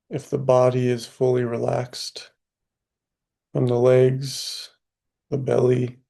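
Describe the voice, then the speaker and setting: Slow, gravelly man guiding meditation. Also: mid-range voice, mellow. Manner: unhurried, with long pauses. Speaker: meditation teacher. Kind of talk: guided meditation.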